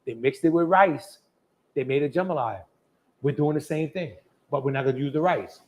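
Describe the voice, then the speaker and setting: A man's voice: fairly high pitch, normal speed, darkish tone, a little hiss or hum. A man hosting a live cooking demonstration in a folksy, chatty, colloquial way. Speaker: host of a live cooking show.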